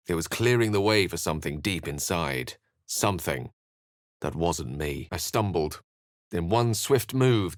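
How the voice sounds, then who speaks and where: Eloquent, low-voiced wizard. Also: male voice, a bit bright, dry recording, studio quality. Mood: musing, narrating. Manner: eloquent, measured, theatrical. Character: erudite, well-spoken male wizard adventurer, thoughtful and wry. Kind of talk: video game voice acting, dialogue and narration.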